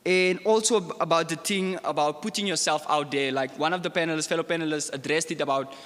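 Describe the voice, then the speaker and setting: A man's voice: fairly high pitch, fast talker, fairly bright tone. Earnest young man, reverberant hall. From South Africa. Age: young adult. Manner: earnest, grows heated, many fillers. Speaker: student panelist. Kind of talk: panel discussion.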